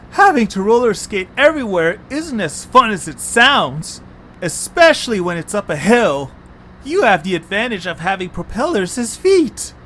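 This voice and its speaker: Male actor as put-upon young cartoon critter, high-pitched and animated, complaining manner. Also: a little slow, a little hiss or hum, exaggerated voice. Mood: grumbling. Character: young male Zoombini creature, whiny, put-upon roller skater.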